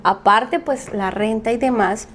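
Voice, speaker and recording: High-voiced woman hosting. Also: fairly bright tone, a little hiss or hum. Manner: responsive, quick follow-up questions. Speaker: podcast host. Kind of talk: casual interview chat.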